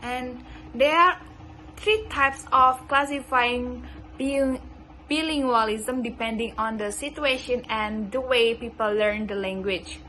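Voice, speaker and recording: Student type: young woman, Indonesian accent, a touch high-pitched, careful and unhurried delivery of a prepared class talk. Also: pushed and bright, slight background noise, low-quality recording. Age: young adult. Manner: slow, careful reading of a prepared script. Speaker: student presenter. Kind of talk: class presentation.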